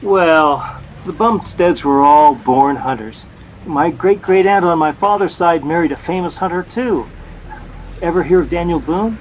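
An actor with an American accent playing a jealous, bumbling young husband, sulky, boastful and sheepishly stammering, in a 1940s radio comedy. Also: male voice, fairly high pitch, a little slow, close to an everyday voice. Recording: noisy old-time radio recording.

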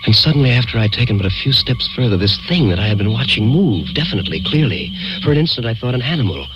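An actor playing a haunted, guilt-ridden man who narrates a radio suspense tale in a brooding, deliberate, formal way. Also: medium pitch, very animated, dull audio, noisy background, close to an everyday voice. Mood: eerie suspense. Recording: old radio recording with music.

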